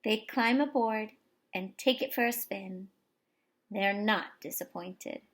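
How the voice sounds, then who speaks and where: A woman teacher reading a picture book aloud expressively to young children, pausing for explanations and questions. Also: medium pitch. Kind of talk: expressive children's story read-aloud with asides to listeners.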